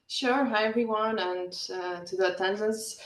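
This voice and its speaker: A woman's voice: mid-range voice, moderate pace. Woman panelist in an echoey room. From Germany. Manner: lively, laughing between phrases. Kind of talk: panel discussion introduction.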